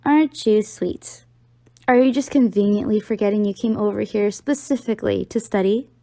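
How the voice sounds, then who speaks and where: A woman's voice: medium pitch, moderate pace, creaky, mellow, no echo, natural voice. A young woman playing an affectionate, teasing college-age girlfriend in an audio roleplay, talking playfully to the listener.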